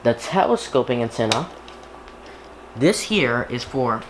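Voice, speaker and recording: A man casually talking through an unboxing and setup as he works, halting and half muttering to himself. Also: mid-range voice, big pitch swings. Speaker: YouTuber unboxing and setting up a radio. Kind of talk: casual unboxing narration while handling items. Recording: echoey room with clear background noise.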